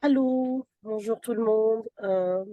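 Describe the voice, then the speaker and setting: A woman's voice: on the high side, measured pace, no echo, narrow, tinny audio. A woman giving a short, shy and hesitant self-introduction in a video meeting. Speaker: party representative. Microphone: video call.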